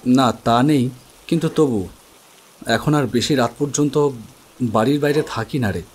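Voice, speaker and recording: A man playing an earnest, uneasy protagonist who narrates his own story in an animated horror drama. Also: mid-range voice, measured pace, breathy edge. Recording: music under the voice. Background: background music.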